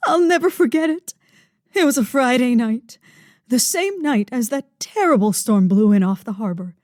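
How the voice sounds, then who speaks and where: Woman acting a theatrical, high-voiced wealthy widow. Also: expressive, normal speed, darkish tone, no echo, clean studio sound, natural voice. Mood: distraught. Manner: theatrical, swooning, dramatic. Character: wealthy melodramatic widow, sultry and self-pitying. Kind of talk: parody of old-time radio detective drama.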